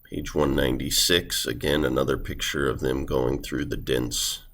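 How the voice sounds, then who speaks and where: Super deep guy with lots of fry, talking through book pages plainly, bit halting. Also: little pitch movement, fairly bright tone. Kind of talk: unscripted page-by-page commentary.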